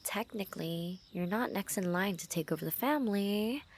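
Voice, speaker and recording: A woman playing a lovestruck assassin in an intimate ASMR roleplay, teasing and menacing, speaking softly and often dropping into whispers. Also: medium pitch, normal speed, slight background noise, lightly acted. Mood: quietly menacing.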